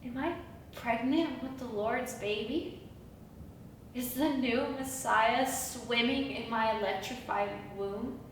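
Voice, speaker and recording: A woman's voice: slow, pauses often, a bit bright. A woman acting a theatrical monologue as an anguished, awestruck Bronx woman questioning an angel. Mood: awed questioning. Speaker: acting student. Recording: echoey room from far off, rumbling noise.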